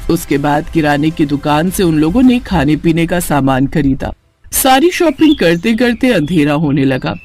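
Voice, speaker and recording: High-pitched, animated male story narrator. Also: normal speed, soft background music. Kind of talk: scripted cartoon story narration.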